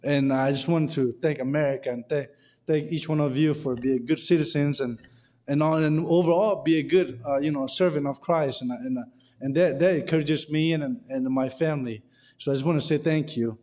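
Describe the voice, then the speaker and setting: A male guest preacher thanks the congregation before his sermon. He is earnest and a little halting, often restarting words. His voice rings with the long reverb of a church hall. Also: on the high side, a little mellow. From Burma. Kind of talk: sermon opening.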